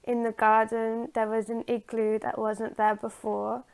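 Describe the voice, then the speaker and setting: A woman retelling a story from memory in a halting, hesitant way, searching for her words. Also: fairly high pitch, monotone, clear, resonant tone.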